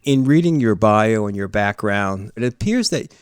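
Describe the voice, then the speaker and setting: A man in his sixties hosting a podcast, reading a formal, measured introduction and asking questions. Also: lowish voice, animated, clear, resonant tone, no echo, studio quality. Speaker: podcast host. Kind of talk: scripted intro and interview questions.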